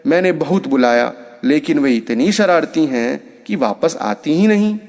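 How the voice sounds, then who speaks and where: A man's voice: a little high, expressive, unhurried, a little mellow, long reverb tail. A man voicing a sly female cat who talks in a sugary, coaxing way to win over her employer in a storybook tale. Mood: sly, persuasive. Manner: sugary, coaxing, smooth-talking. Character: sly sweet-talking female cat posing as a maid.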